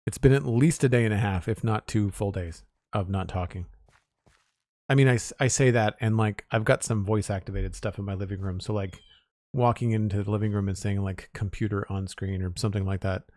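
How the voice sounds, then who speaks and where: A man with a low, raspy voice that sounds a little hoarse. He thinks aloud in a loose, rambling way while he builds. The sound is close and dry, with no room echo at all. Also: fairly even intonation, a little fast, a little mellow. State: slightly hoarse. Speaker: streamer. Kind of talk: casual live-stream chat while building.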